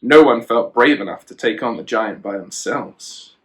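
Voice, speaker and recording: A man's voice: medium pitch, moderate pace. A man reading a children's storybook aloud, with chatty asides to a child. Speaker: children and youth worker, storyteller.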